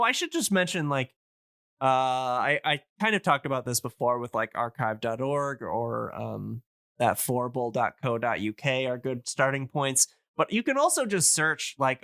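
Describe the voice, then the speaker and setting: Bright, clear-voiced man chatting casually. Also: mid-range voice, dry recording, clean studio sound. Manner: rambling, hesitant, enthusiastic. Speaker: podcast host. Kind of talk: casual explanatory chat.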